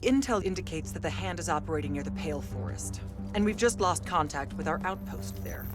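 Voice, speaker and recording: A woman's voice: sits low, lively intonation, a little fast, over loud music. An actress playing a stern, ruthless female battlemage commander in a video game, speaking in a clipped, commanding, dry way. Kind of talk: dramatic video game acting.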